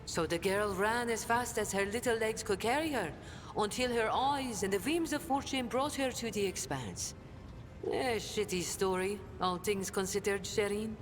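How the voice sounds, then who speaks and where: An actress playing a sly, sardonic smuggler in a video game, with a theatrical, mocking, flowery delivery. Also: female voice, medium pitch, moderate pace, long reverb tail, noisy background, close to an everyday voice. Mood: wry.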